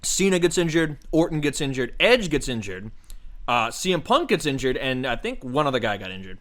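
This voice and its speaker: Animated, bright-voiced man on a podcast. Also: mid-range voice, brisk. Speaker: podcast host. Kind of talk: casual chat recapping a wrestling show.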